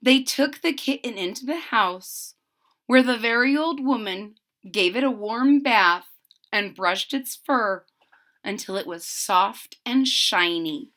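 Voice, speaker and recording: Animated woman reading to young children. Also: fairly high pitch, takes it slowly. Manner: expressive, explanatory. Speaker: storybook reader. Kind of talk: read-aloud for young children, with simple explanations.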